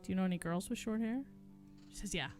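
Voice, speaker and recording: A woman's voice: mid-range voice, fast talker, darkish tone, soft background music. Big-voiced American woman talking frankly. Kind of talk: casual conversational storytelling.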